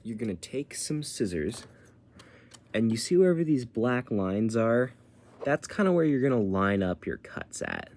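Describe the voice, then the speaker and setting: A man's voice: medium pitch, very animated, unhurried, a little mellow. A man giving a casual step-by-step how-to tutorial in an informal, colloquial way, explaining as he demonstrates. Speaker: tutorial presenter.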